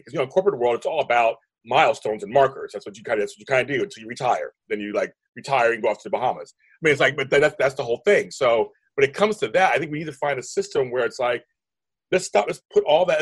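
A man in his fifties with a deep voice, speaking as a guest in an interview, rambling and emphatic, often restarting his sentences. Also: husky, no echo. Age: 50s. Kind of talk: animated conversational interview.

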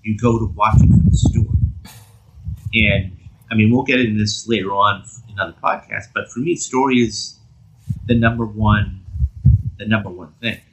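Man, lowish pitch, casual podcast co-host, halting delivery, noisy recording with clicks. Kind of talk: casual discussion. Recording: clearly noisy background with clicks.

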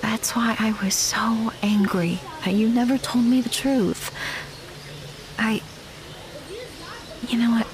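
An actress playing a guarded, blunt young woman with a wry manner and a soft heart in an audio drama. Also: on the high side, fairly even intonation, slow pace, long pauses, slight background noise. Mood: stern, fond. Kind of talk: naturalistic audio drama dialogue.

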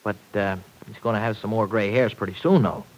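An actor playing a cocky, big-hearted young rancher with slangy, folksy talk in an old-time radio serial. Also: male voice, fairly low pitch, fairly even intonation, a little fast, close to an everyday voice, poor audio. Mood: rueful, casual. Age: young adult.

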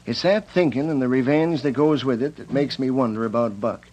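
An actor playing an earnest, fair-minded prison warden in an old Western radio drama, speaking in a deliberate, official way. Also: male voice, medium pitch, a little mellow, close to an everyday voice. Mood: uneasy. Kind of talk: 1940s-1950s Western radio drama acting. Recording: dull lo-fi old radio recording.

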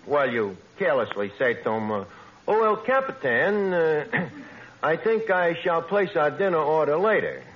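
An actor playing a brash, scheming, lowbrow tavern manager with a New York accent and would-be fancy words, in 1940s radio comedy style. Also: male voice, sing-song, measured pace. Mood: scheming, knowing. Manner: mangled grammar with pretentious fancy words, hemming. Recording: dull old radio recording, noisy.

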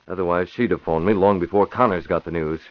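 A man's voice: low-pitched, fairly even intonation, quick pace, no echo, close to an everyday voice. An actor playing an earnest, determined crime-fighting newspaperman in an old-time radio drama, serious and urgent. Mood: grave, determined. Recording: muffled, faintly noisy vintage radio recording.

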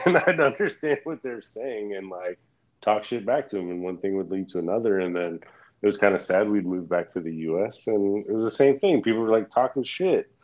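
Deep-voiced man, frank rambling chat. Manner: rambling, frank, swears freely, laughs often. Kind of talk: casual chat. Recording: dull lo-fi audio.

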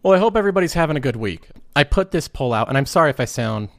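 A man in his thirties with a voice worn by a cold, hosting a personal finance podcast in a relaxed, conversational way with restarts and asides. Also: a little high, rapid-fire, no echo, clean studio sound. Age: 30s. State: hoarse from a cold. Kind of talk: casual solo talk.

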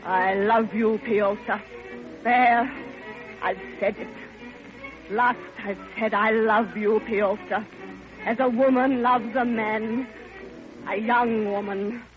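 An actress playing a grieving, lovelorn older woman in a classic radio drama, speaking in a halting, theatrical and impassioned way. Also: a little high, fairly even intonation. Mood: fervent confession. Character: grieving, lovelorn old woman pouring out her heart. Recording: muffled vintage radio recording with music.

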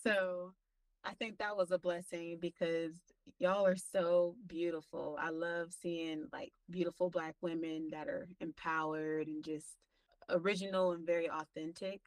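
A woman co-host chats with enthusiasm, her slightly low voice leaping up when she acts out what she said. She sounds dry and a bit far from the microphone. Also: flat delivery, a little slow, dry recording. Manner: enthusiastic, acts out quotes with big pitch jumps. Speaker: podcast co-host. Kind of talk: casual chat.

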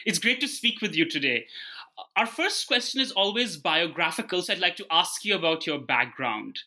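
Bright-voiced male podcast host. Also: high voice, animated, normal speed. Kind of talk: prepared podcast introduction and interview questions.

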